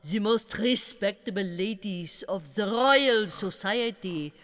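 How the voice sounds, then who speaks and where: A woman's voice: mid-range voice, slow pace, fairly bright tone. An actress playing an imperious, gleefully wicked witch leader in a dramatized audiobook, commanding and gloating, swinging from hiss to shriek. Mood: gloating, instructing. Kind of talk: fully dramatized audiobook acting. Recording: music behind the voice. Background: music.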